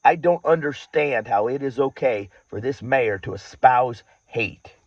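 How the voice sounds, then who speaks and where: Male, mid-pitched, indignant and emphatic monologue, repeats phrases for weight, dull recording. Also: unhurried, dry recording. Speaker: farmer and activist speaking to followers. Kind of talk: personal monologue to an audience, political grievance. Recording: dull lo-fi audio.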